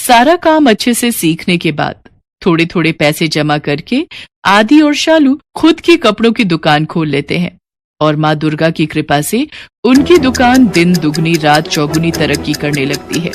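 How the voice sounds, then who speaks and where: A woman's voice: on the low side, very animated, normal speed, no room sound. A woman storyteller giving scripted narration of a devotional moral tale.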